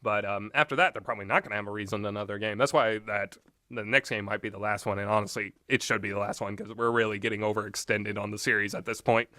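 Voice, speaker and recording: A man rambling casually through his opinions as a video game reviewer, animated and quick to act out imagined lines with exasperation. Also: on the low side, dry recording, clean studio sound. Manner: animated, exasperated asides, acts out imagined quotes.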